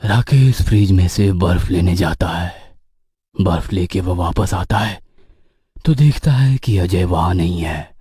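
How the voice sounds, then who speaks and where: A man reading a scripted horror story as its narrator, with a husky voice. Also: low voice, a little slow, dark tone, no room sound, studio quality.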